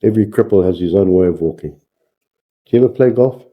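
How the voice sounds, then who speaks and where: A man's voice: lowish voice, fast, narrow, tinny audio. An older man from Zimbabwe, a shop owner talking philosophically in an interview and repeating himself as he thinks. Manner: philosophical, asks rhetorical questions, stammering repeats. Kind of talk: casual interview.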